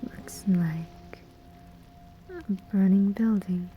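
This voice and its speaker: A woman's voice: mid-range voice, narrow, tinny audio, soft background music. A woman playing a sly, amused demon collector of souls in a whispered, teasing ASMR roleplay. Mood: sly, curious. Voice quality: breathy, whispering. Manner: slow, teasing, deliberate pauses.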